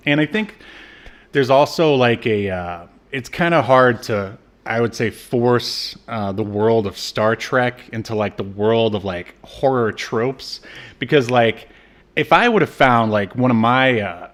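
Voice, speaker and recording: A man co-hosting a podcast, casually recapping a TV episode in a halting, informal way. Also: mid-range voice, fairly bright tone. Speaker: podcast co-host.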